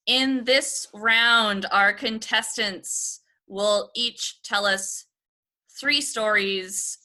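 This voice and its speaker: Bright-voiced, unhurried woman hosting a game. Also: on the high side. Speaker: game show host. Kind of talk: hosting a comedy panel game. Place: at home on a video call. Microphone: video call microphone.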